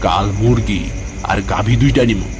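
Guy doing a grumpy cartoon burglar boss, keeps it hushed and scolding, music behind. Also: on the low side, normal speed, close to an everyday voice. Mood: irritated, scheming. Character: bossy, irritable thief scolding his foolish partner.

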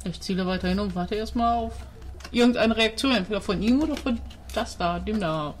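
A woman's voice: mid-range voice, brisk. A woman chatting casually as a player in a tabletop roleplaying game. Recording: noisy tabletop session recording.

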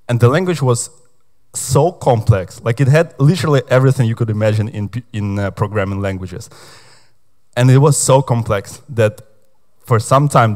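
A young man from Ukraine giving a conference talk on stage, chatty and hesitant, with lots of fillers. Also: medium pitch. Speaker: conference speaker.

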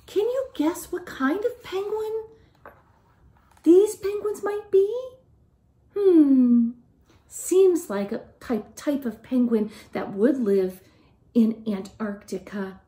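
Slow, high-voiced woman reading a storybook. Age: middle-aged. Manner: expressive, questioning, child-directed delivery. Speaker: children's storytime teacher. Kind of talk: reading a picture book aloud to young children. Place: living room at home, indoors.

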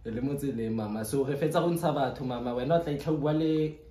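A man's voice: medium pitch, fast talker, warm tone. A South African man making a prank phone call as a company agent, speaking in an insistent, repetitive yet respectful way. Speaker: prank caller. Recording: roomy echo with background noise.

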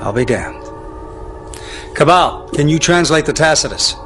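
A man's voice: on the high side, animated, unhurried, bright, forceful tone, faint music behind. A middle-aged man playing a stern, commanding general in a video game cutscene, clipped and authoritative. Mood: stern, measured.

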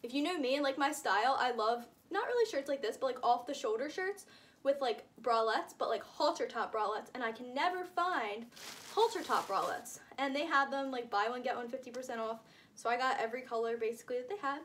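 High-voiced, gushing teenage woman vlogger. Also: expressive, brisk. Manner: rambling, gushing, uses frequent "like" and hyperbole. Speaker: vlogger showing shopping haul. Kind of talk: casual chatty haul vlog. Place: indoors, small room with curtain backdrop.

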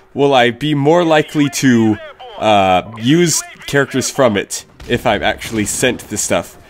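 A young man with a blocked, stuffy nose talks loosely through viewer questions, restarting sentences and dropping blunt opinions. His voice sits at an ordinary middle pitch. A faint hiss runs under the home mic recording. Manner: rambling, self-correcting, blunt opinions. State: stuffy, sick. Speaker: YouTube channel host. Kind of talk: casual Q&A answering viewer questions.

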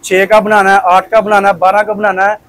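A man's voice: high voice, little pitch movement, brisk, fairly bright tone, dry recording. A man, giving an explanatory sales demonstration as a shopkeeper on a lapel mic. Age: 20s. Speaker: shopkeeper, wholesale chair trader. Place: small crowded shop indoors. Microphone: clip-on lapel microphone.

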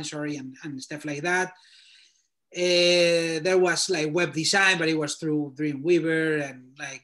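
A man's voice: a little high, fairly bright tone. A man in his thirties from Spain with a Spanish accent, a native Spanish speaker talking casually on a video call from a small room at home, loose and full of fillers as he thinks aloud. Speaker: guest portfolio reviewer. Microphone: laptop webcam video call.

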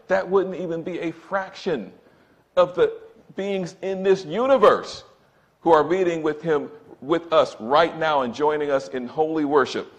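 Man in his sixties preaching a sermon. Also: a little high. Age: 60s. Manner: emphatic preaching with repeated "brothers and sisters", gesturing at a pulpit. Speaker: church elder preaching. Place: church sanctuary, indoors. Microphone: pulpit microphone, far camera.

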